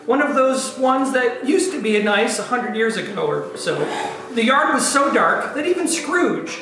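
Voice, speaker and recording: A distant, very echoey recording with music behind. A woman narrates a comic story reading with lively, swinging intonation. Her delivery is theatrical and wry. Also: on the high side.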